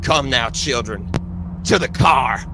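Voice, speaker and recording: A man's voice: medium pitch, expressive, takes it slowly. A man voicing a resolute, solemn preacher who declaims Bible verses in a tabletop roleplaying game. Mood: calm, commanding. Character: resolute scripture-quoting male preacher with a shotgun. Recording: clearly noisy live stream.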